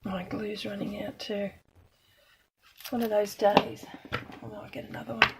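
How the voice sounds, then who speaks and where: A middle-aged woman from Australia, slightly croaky today, chatting casually and self-deprecatingly while she demonstrates card-making. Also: medium pitch, flat delivery, moderate pace, very noisy. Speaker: craft demonstrator.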